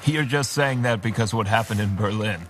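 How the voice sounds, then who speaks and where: A man acting a calm, grave heroic leader in an audio drama. His voice is on the low side, measured and solemn, with prominent music behind it. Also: natural voice. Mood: teasing. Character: calm, grave, steadfast male hero leader.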